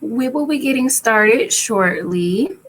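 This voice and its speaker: Raspy woman chatting on a live stream, a bit high, easygoing. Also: slow. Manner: relaxed, chatty, often laughing. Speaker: co-host of a live spiritual chat. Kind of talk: casual live-stream chat.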